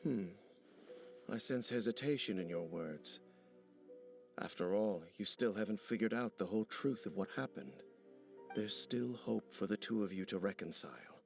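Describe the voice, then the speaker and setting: An actor playing a solemn, brooding ancient warrior in a video game, speaking gravely and formally, embittered by old betrayal. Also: male voice, lowish voice. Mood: pensive, measured. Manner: grave, formal, measured speech. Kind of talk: video game character dialogue. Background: background music.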